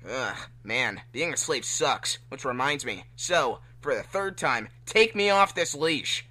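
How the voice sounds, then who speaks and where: A man's voice: medium pitch, expressive, unhurried, sharp tone. A man playing a brash, grumbling young hedgehog hero with a casual, whiny delivery in an amateur fan fiction reading. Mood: whiny, annoyed.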